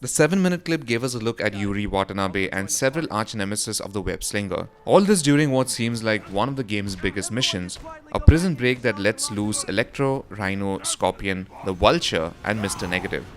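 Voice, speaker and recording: A man's voice: on the low side, lively intonation, a little fast. A man reading a scripted voice-over for a gaming news roundup, in the role of presenter. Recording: music behind the voice. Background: background music.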